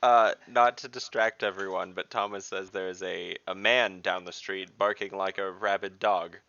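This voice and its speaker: A man's voice: low-pitched, fairly even intonation, moderate pace, sharp tone. A man playing a tabletop game, bantering casually in a dry, blunt way. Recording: dull online mic with clear noise.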